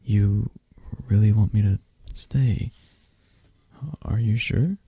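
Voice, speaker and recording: Shy best friend, male, young adult, soft and stammering, husky and low, played by a man. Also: slow, no echo, heavily acted. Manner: soft-spoken, stammering, gentle. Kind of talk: ASMR roleplay.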